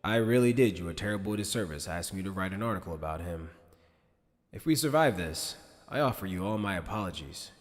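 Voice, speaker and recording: A man acting a grave, shrewd middle-aged commander in an anime fan-dub, with measured, serious delivery. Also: deep voice, expressive. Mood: regretful. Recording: long hall-like reverb.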